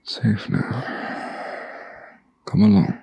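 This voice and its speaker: A man voicing a gentle, protective huntsman in a soft-spoken, intimate ASMR fantasy roleplay, with slow, soothing, old-fashioned phrasing and a low, gravelly, breathy voice. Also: fairly even intonation, dull audio, a little hiss or hum, exaggerated voice. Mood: hushed, soothing.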